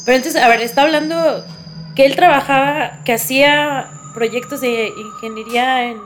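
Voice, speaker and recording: A woman's voice: high-pitched, normal speed. A woman co-hosting a podcast in casual chat, reacting playfully and asking questions. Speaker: podcast co-host. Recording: faint music underneath.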